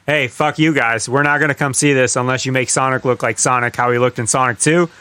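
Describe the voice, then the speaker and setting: Bright-voiced man in dry, close sound. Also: medium pitch, steady tone, a little fast, clean tone, no echo. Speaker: podcast co-host. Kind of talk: casual profane chat.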